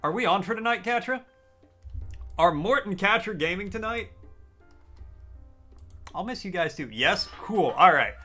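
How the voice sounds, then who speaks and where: A man live-streaming a game, chatting casually with his viewers in a deliberately raised, customer-service-style register. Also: sits high, animated, bright, forceful tone, faint music behind. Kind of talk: casual live-stream chat while gaming.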